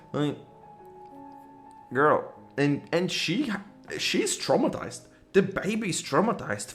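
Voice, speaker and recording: A game streamer giving casual, slangy, emotionally invested commentary during a late playthrough. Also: mid-range voice. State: sleepy.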